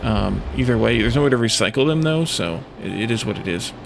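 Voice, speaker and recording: A man's voice: medium pitch, expressive, brisk, creaky, noisy background. A man giving casual video game commentary as a let's play host, thinking aloud and musing to himself in short fragments. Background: game music.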